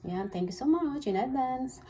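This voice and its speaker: A woman's voice: high voice, animated, a little slow, mellow. A middle-aged woman with a Filipino accent, chatting casually to viewers as a home baking vlogger, mixing two languages as she goes. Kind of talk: casual chat while baking, Tagalog-English code-switching. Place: small home kitchen, indoors. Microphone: phone at arm's length or propped nearby. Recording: clearly noisy home kitchen recording.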